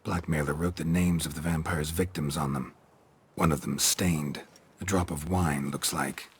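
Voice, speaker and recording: An actor playing a stoic monster hunter in a game, with a gruff husky voice and terse, deadpan delivery. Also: male voice, moderate pace, a little hiss or hum. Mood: grave, matter-of-fact. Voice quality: gravelly, husky low voice.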